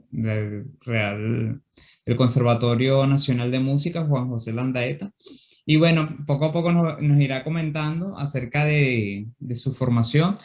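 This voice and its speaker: A man hosting an interview, introducing his former teacher with many fillers and restarts. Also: mid-range voice. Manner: friendly, hesitant, many fillers. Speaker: interviewer, channel host.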